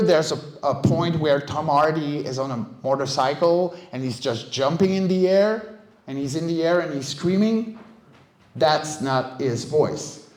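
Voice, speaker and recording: A man's voice: on the high side, a little mellow. Middle-aged male speaker, conversational and emphatic conference delivery, roomy live sound. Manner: conversational, emphatic, often checks with listeners. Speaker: guest speaker, vocal stunt performer and director. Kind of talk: casual conference talk. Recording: roomy, echoey live talk recording.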